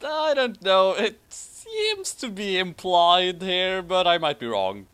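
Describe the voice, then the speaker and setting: A man with a fairly high, animated voice reads fan fiction as comedy. He sounds amused and mock-dramatic, and steps out of the story to comment. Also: takes it slowly, clean tone. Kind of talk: humorous read-aloud of fan fiction with commentary.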